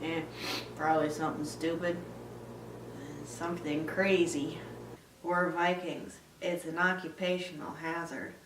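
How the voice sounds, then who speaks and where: A woman playing a sarcastic, awkward young Viking man in a dry, deliberate film-style recitation. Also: deep voice, flat delivery, noisy background. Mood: dry, resigned. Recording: echoey room, distant mic.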